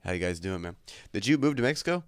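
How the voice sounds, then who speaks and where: Laid-back young man, slightly congested, low voice. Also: sing-song, fast talker, clear, resonant tone, no echo, clean studio sound. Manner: laid-back, crude, joking banter with chat. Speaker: livestream host. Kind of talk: casual livestream chat. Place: his bedroom at home.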